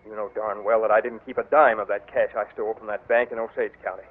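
A man's voice: lowish voice, flat delivery, fast, close to an everyday voice. A man playing a jittery ex-robber clerk. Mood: nervous. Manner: tense and defensive. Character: nervous male clerk, former bank robber. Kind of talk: 1940s radio acting. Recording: tinny old radio broadcast.

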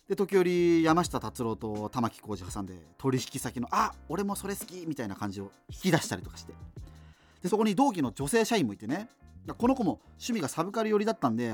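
Animated, rambling male radio host, played by an actor. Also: mid-range voice, lightly acted. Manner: wry, digressive, full of hesitant fillers. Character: rambling, self-deprecating male radio host in doomed 2039. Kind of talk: conversational radio drama monologue, reminiscing.

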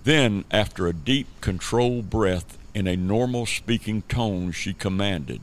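A man with a lowish voice narrates a radio play in scripted storytelling style. Faint ambient noise sits behind him. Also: animated, unhurried. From Savannah, Georgia, United States.

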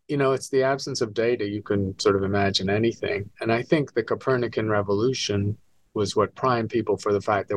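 Low-voiced man in his sixties, dry sound. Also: no room sound. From born in Scotland, raised in England and the US. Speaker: astronomy professor, author, podcast guest. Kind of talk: explanatory interview talk.

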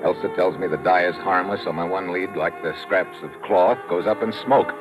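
An actor playing a tough, wry American secret agent in an old radio detective drama, with clipped, slangy delivery. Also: male voice, deep voice, fairly even intonation, normal speed, music in the background, lightly acted. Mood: wry narration. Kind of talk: 1950s radio detective drama acting and narration. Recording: noisy old radio recording.

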